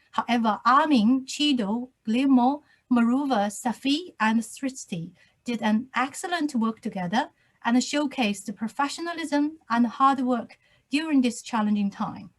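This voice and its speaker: Woman lecturer interviewing, fairly high voice, slow formal delivery, video call. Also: poor audio. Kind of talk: scripted introduction and interview questions.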